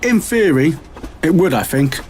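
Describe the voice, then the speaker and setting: A man plays a mild, helpful expert in an amateur radio play. His voice is a little high for a man. There is background noise. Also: animated, a little slow, a little mellow. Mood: helpful, tentative.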